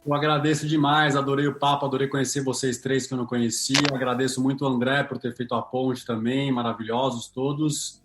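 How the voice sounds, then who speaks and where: A man's voice: medium pitch, little pitch movement, a little fast, few pauses, roomy sound, noisy background. A man with a Brazilian Portuguese accent, a podcast guest speaking openly and a little self-deprecatingly about his work. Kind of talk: casual podcast chat.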